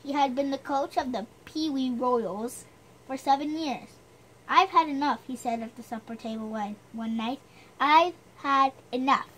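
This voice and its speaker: A woman's voice: high-pitched, a little slow, a bit bright. A woman reading a storybook aloud with chatty, self-deprecating asides, stumbling over the odd word.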